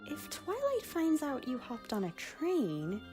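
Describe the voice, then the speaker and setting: A woman playing a supportive, mildly teasing grown female pony in an animated drama. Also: high-pitched, takes it slowly, music in the background, heavily acted. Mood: stern, teasing.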